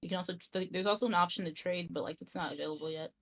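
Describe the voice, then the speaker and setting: A man's voice: sits high, fairly even intonation, very fast, gravelly, a bit bright. A man chatting casually as a guest on a livestream. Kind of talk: casual chat.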